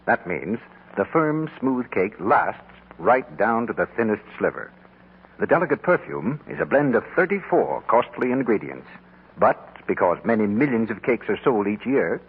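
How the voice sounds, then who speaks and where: Old time radio ad guy, lowish, animated sales pitch, tinny sound. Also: husky. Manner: formal, salesmanlike. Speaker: announcer. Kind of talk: 1940s radio commercial announcing. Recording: tinny 1940s radio broadcast.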